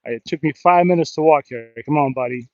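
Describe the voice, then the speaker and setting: American male live streamer, slightly high voice, rambling and self-mocking, dry but muffled lo-fi outdoor recording. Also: fairly quick, no room sound. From New Jersey, United States. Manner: rambling, self-deprecating, joking. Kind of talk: casual live stream chat. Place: outdoors in a river in a park.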